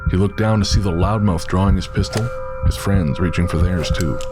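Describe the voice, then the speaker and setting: A man's voice: low voice, fairly quick. A man narrating a scripted dramatic story, with music behind him.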